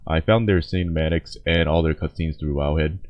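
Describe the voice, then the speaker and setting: Very deep, sleepy young-sounding guy chatting. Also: fairly even intonation, muffled recording. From lives in Korea. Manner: sleepy, hesitant, halting. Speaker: host, reacting to game cinematics. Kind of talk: casual reaction chat.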